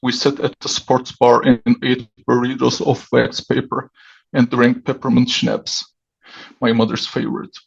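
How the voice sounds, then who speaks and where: A man whose first language is Turkish, reading his own short story aloud over a video call in a measured way. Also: mid-range voice, little pitch movement, creaky, husky. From Turkey. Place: dimly lit home room. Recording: dull lo-fi video call audio.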